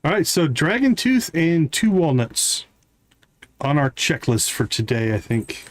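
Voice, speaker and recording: A man's voice: a little high, big pitch swings, measured pace. A man giving casual live gameplay commentary as a streamer, thinking aloud as he goes. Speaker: game streamer, host.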